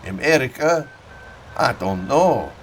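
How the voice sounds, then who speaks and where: A man's voice: medium pitch, expressive, slow, slight background noise, pitched above the performer's own voice. A man voicing an older German immigrant farmer, with a German accent, proud and talkative, in broken English. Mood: insistent.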